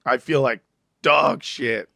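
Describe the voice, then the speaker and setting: A man hosting a comedy podcast, a bit hoarse from a cold, joking around in casual chat. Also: pushed and bright, no echo. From Los Angeles. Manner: joking, deliberately low and slow. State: hoarse, recovering lost voice. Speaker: podcast host.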